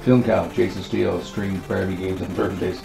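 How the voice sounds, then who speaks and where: A man with a low, gravelly voice chats on a game stream, laughing often and tripping over his words. He sounds like he is in an echoey room with faint music behind. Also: level tone, moderate pace. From Newfoundland. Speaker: co-host. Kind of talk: casual live-stream chat.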